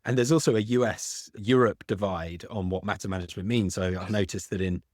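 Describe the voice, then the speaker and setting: A man's voice: fairly low pitch, fairly even intonation, fairly quick, a little mellow, dry recording, clean studio sound. A middle-aged man with a British accent, a podcast guest who explains at length, often restarting on small words. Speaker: guest, legal tech founder. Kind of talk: podcast interview.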